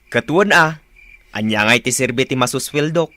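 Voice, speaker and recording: A man's voice: medium pitch, moderate pace, sharp tone, lightly acted. A man acting an agreeable husband who eggs on his wife in a comic radio drama, with an Ilocano Filipino accent. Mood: goading.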